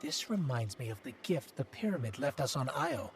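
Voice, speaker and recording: An actor playing a studious, pensive expert in a video game, explaining in precise terms. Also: male voice, mid-range voice, big pitch swings, normal speed, background noise. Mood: intrigued. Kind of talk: cinematic video game dialogue.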